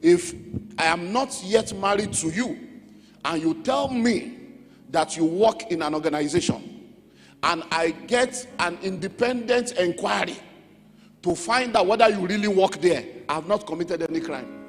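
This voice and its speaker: Nigerian pastor preaching in an echoey hall. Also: male voice, high-pitched, a little slow. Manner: emphatic, repeats key phrases, checks in with listeners. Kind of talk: sermon teaching on relationships. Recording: echoey hall with music underneath.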